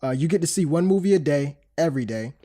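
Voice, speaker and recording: Man, 30s, podcast host, stuffy from a cold, mellow tone, rambling, filler-heavy. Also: fairly high pitch, lively intonation, fast. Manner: chatty, rambling with fillers and restarts. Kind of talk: casual podcast chat.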